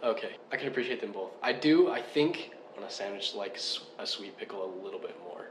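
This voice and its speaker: A man's voice: lowish voice, animated, brisk. A man co-hosting a casual chat, asking curious questions and laughing easily. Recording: echoey room with faint noise.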